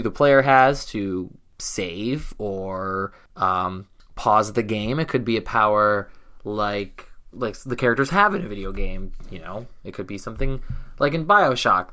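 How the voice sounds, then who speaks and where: A man's voice: fairly low pitch, measured pace, pushed and bright. A man co-hosting a podcast, chatting casually and playfully about games and movies. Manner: playful, joking. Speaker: podcast co-host.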